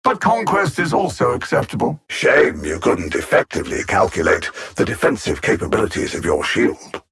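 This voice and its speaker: A man's voice: mid-range voice, a bit bright, breathy edge, full character voice. A man playing a pompous alien machine-intellect conqueror in a video game, coldly sardonic and formal, with theatrical menace. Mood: smug contempt, then taunting. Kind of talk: scripted video game villain dialogue.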